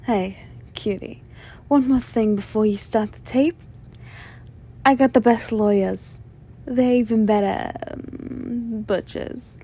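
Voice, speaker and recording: A woman playing a flirtatious, menacing female mob boss in an ASMR roleplay, sly and self-assured, with a soft, teasing, sultry delivery. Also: a little high, steady tone, husky, full character voice. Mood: quietly threatening. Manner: teasing, sultry, drawn-out delivery with veiled threats. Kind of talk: scripted ASMR roleplay monologue addressed to listener.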